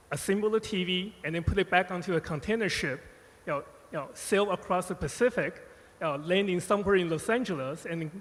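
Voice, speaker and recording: A man answers on a panel, his voice a touch high and his speech halting, padded with filler phrases. The sound carries a long conference-hall reverb. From born Taiwan, raised United States. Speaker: panelist, company strategist.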